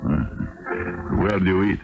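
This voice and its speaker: Very deep, creaky-voiced drifter. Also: male voice, animated, slow, full character voice. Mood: grumbling, resigned. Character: gruff, sly, down-and-out Russian drifter. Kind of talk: old-time radio drama acting. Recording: dull 1970s radio recording with music.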